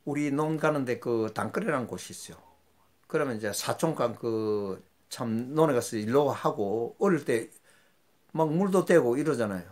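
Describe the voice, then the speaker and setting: An older man talks in a loose, rambling storytelling way. His voice sits in a middle register, and his pitch leaps up and drops sharply from phrase to phrase. He sounds like a host chatting easily about old country days. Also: expressive, moderate pace, darkish tone. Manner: rambling, folksy, with sudden jumps in pitch. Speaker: channel host. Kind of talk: casual storytelling monologue.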